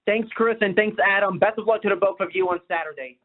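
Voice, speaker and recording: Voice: medium pitch, fast. A reporter's voice puts questions at a press call. The manner is polite. The sound is thin and narrow, as heard down a phone line.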